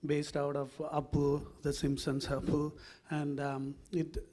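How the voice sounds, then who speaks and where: A filmmaker from Sri Lanka, speaking on a panel in a halting way as he searches for words. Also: on the high side, monotone, dark tone. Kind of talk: panel discussion.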